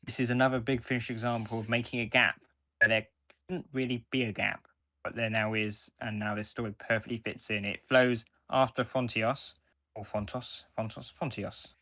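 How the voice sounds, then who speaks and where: A man's voice: medium pitch, level tone, bright, forceful tone. A man co-hosting a podcast, chatting enthusiastically as a fan and often doubling back on his words. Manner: enthusiastic, halting with repeated words. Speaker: podcast co-host. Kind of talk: casual fan discussion.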